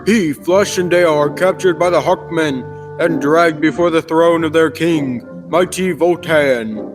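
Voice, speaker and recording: A man's voice: fairly high pitch, measured pace. A man narrating a scripted old-time radio adventure serial in a dramatic storytelling manner. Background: music.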